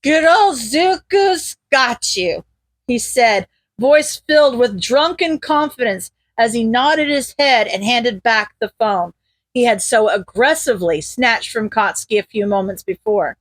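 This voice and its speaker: A woman reading fan fiction aloud as an expressive story narrator. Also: fairly high pitch, unhurried, no room sound. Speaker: podfic narrator.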